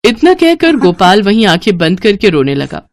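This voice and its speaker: A woman's voice: mid-range voice, sing-song, normal speed. A woman narrating a scripted children's moral story in a storyteller's style.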